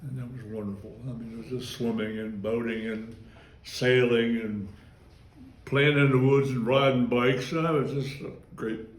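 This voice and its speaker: An elderly man in his nineties recalls his youth at his own unhurried pace, drifting off on side stories and chuckling now and then. His voice sits at an ordinary middle pitch. The room around him is noticeably echoey. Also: steady tone. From Maryland, US. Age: 90s. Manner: rambling, digressive, chuckles while recalling. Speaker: interviewee. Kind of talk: oral history reminiscence.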